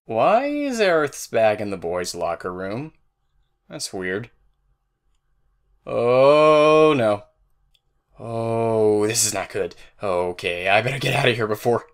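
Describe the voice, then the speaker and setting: A man's voice: on the low side, big pitch swings, takes it slowly, pauses often, exaggerated voice. A man playing a nervous, kind-hearted teenage boy in a fan audio drama, stammering as he talks. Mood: panicked, nervous.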